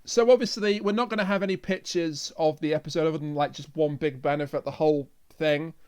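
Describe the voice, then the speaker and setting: High-voiced man co-hosting a podcast. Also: fairly even intonation, brisk, darkish tone, smooth. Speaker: podcast co-host. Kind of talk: casual review chat.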